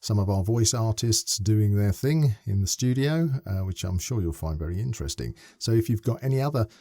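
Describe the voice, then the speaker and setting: A man's voice: lowish voice, lively intonation, a little fast, mellow, dry recording. A man hosting a casual podcast interview, asking long, winding questions with many restarts. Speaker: podcast host.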